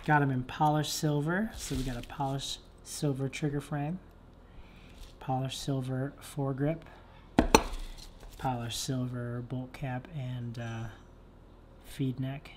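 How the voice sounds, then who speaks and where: A man's voice: medium pitch, flat delivery, slow pace, long pauses, background noise. A young man in his twenties chatting casually in a vlog, laid-back and rambling, with many fillers, filmed at a desk at home. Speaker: vlogger. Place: dim room at home, indoors. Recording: music playing behind.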